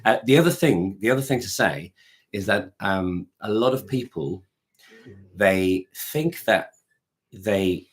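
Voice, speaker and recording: Guy with a really deep voice, talks in loops, restarts a lot, hums a note now and then. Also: lively intonation, a little slow. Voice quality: low voice. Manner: rambling, many restarts, sings short notes to demonstrate. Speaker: author and choir director. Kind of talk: casual interview chat.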